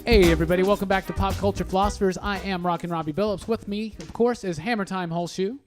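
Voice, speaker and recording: High-pitched male podcast host. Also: steady tone, fast, over music. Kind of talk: casual podcast chat.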